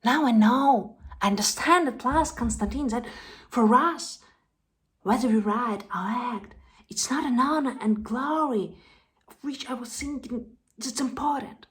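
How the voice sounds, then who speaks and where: A woman performs a distraught young actress in a stage drama monologue. Her voice is high and breathy, moving slowly and haltingly between hushed and loud delivery. She is worn out, yet clings to faith. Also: natural voice, low-quality recording. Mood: resolute. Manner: halting, repeats words, swings from hushed to loud.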